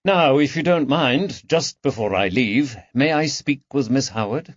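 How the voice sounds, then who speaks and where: A man voicing a composed, methodical police detective with a measured, authoritative manner in an audiobook. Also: medium pitch, lively intonation, dry recording, lo-fi sound, pitched above the performer's own voice. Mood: businesslike. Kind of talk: audiobook character dialogue.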